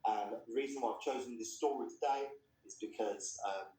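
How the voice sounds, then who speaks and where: A man's voice: medium pitch, fairly quick, warm tone. Echoey, distant-sounding man reading aloud. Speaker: reader introducing and reading a story. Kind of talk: literary reading aloud with informal introduction. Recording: echoey room, distant microphone.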